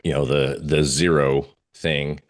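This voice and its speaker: Low-voiced man talking through charts. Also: flat delivery. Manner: halting, searching for words. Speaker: market analyst guest. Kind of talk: informal live chart commentary.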